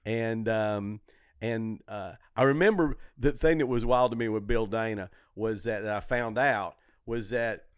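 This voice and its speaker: A man's voice: on the low side, unhurried, clear, resonant tone, no room sound, clean studio sound. An older man in a casual podcast conversation, hosting and telling stories in a rambling, repetitive way. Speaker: comedy podcast host and club owner.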